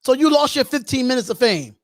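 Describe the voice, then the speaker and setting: A man's voice: very high-pitched, lively intonation, a little fast, no room sound, clean studio sound. A man hosting a casual live sports call-in stream, talking in slangy, repetitive phrases. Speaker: host of a sports call-in show.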